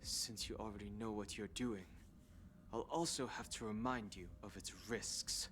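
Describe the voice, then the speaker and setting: A man's voice: on the low side, fairly even intonation, a bit bright, slight background noise, close to an everyday voice. An actor playing a guarded, composed young man in a video game, speaking formally and warily. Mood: stern, challenging. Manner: formal, measured, wary.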